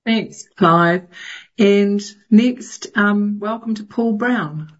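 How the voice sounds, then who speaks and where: A woman's voice: mid-range voice, drawn-out words, dull audio. A woman chairing a meeting, giving brief introductions of each speaker.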